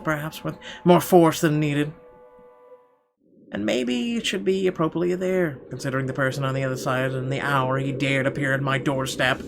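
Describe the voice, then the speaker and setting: A man's voice: a little high, gravelly, a bit bright. A man reading visual novel narration aloud in a theatrical way, now and then stumbling over words. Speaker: gaming video host. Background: game music.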